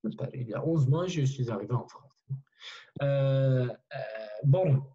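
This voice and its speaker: A man's voice: mid-range voice, measured pace, dark tone, muffled recording. A man teaching a French class, repeating learners' sentences and encouraging them, often switching languages.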